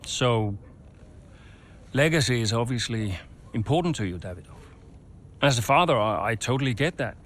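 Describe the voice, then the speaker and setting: An actor playing a racing team boss who talks in a persuasive, reassuring way. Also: male voice, mid-range voice, expressive, moderate pace, long pauses, a bit bright, a little hiss or hum, close to an everyday voice.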